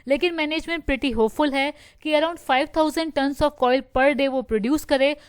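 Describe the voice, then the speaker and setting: A woman's voice: high-pitched, measured pace, no echo, studio quality. A woman hosting a stock market news video, explaining steadily and slipping English phrases into her Hindi.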